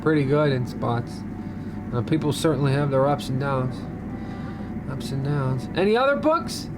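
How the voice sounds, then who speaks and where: A man playing a mild, puzzled abductee over loud music. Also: lively intonation, moderate pace, natural voice, low-quality recording. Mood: mild, interested. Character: bewildered, mild-mannered American man abducted by aliens. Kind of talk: radio drama acting. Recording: loud music and rumble behind.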